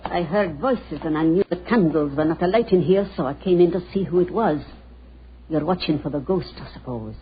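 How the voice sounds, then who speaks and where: Ominous, fast-talking Scottish housekeeper. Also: female voice, sits low, slightly rough. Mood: foreboding. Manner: foreboding, dour. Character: ominous, superstitious older Scottish housekeeper woman. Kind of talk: 1940s radio acting. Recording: muffled, noisy old 1940s radio recording.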